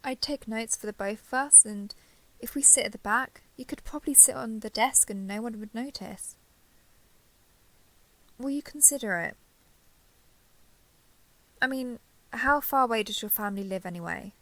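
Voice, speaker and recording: A young woman playing a caring giant dragon girl in an intimate audio roleplay, speaking to the listener in a gentle, reassuring, slightly hesitant way. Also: on the high side, normal speed, pauses often, poor audio. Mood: gentle, hopeful.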